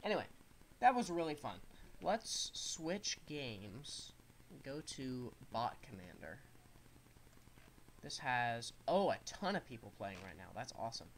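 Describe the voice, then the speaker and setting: A man's voice: mid-range voice, sing-song, measured pace, a little hiss or hum, poor audio. Stuffy-nosed young man narrating gameplay. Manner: rambling, explains controls as he plays. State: stuffed-up nose. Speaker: gaming streamer. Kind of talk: casual gameplay commentary.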